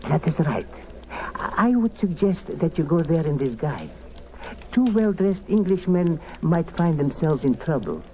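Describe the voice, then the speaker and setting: An actor playing a sly, greedy underworld cafe owner with a foreign accent and a wheedling, theatrical manner in an old-time radio drama. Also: male voice, a little high, big pitch swings, a little slow, mellow. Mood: confiding. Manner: wheedling, theatrical, ends demands with "no?". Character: sly, greedy underworld cafe proprietor, a charming male scoundrel. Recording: noisy old radio recording.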